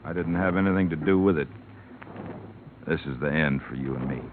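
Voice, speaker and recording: An actor playing a sullen, frightened young American rancher in a radio drama, talking in terse, tense refusals. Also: male voice, rumbling low, a little fast. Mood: bitter refusal. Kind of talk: 1950s radio drama acting. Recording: noisy, tinny old radio recording.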